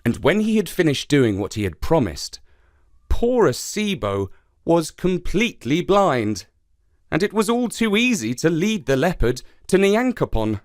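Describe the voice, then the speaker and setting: A man tells a children's folktale from a script. His fairly high voice moves expressively up and down at an unhurried pace, recorded dry. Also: very animated, no echo. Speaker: storyteller.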